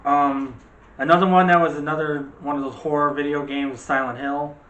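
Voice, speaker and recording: A man's voice: a little high, fairly even intonation, moderate pace, a bit bright, lo-fi sound. A man co-hosting a casual movie discussion, giving his opinions in a rambling, argumentative, conversational way. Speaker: co-host of a movie discussion show. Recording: echoey room with faint background noise.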